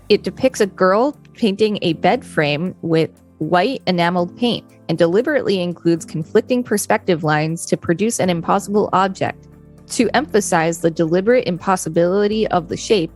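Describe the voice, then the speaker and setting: A woman co-hosting a podcast, reading encyclopedia text aloud with brief conversational asides. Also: lowish voice. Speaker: podcast co-host.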